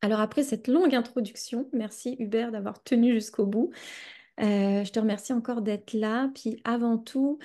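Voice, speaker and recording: A woman in her thirties with a Québécois accent, hosting a reflective podcast interview and speaking slowly, searching for her words with many hesitations. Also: on the high side, dark tone. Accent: Québécois French. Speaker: podcast host.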